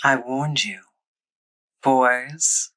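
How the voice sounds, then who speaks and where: A woman's voice: rumbling low, extremely slow, fairly bright tone, full character voice, rough recording. A woman playing a stern, bossy police officer in a comic book dramatization, curt and commanding.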